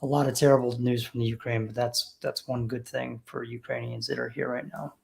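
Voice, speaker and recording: A woman's voice: very deep voice, fairly even intonation, brisk, gravelly, darkish tone. A woman co-hosting a live immigration Q&A stream, upbeat as she reads out viewer comments and questions. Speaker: immigration Q&A co-host.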